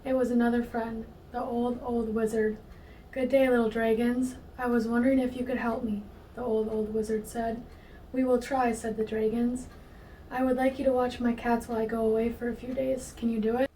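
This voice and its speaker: A woman's voice: a little high, flat delivery, normal speed, warm tone. A woman reading a children's storybook aloud. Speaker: storybook reader. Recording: distant mic, faint noise.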